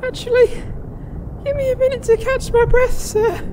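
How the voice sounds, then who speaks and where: A woman's voice: extremely high pitch, fairly even intonation, normal speed. A woman voicing a young, dutiful squire boy, hesitant and deferential, in a comedy fantasy cartoon. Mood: weary. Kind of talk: comedic animated fantasy voice acting. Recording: noisy outdoor-like ambience behind voice.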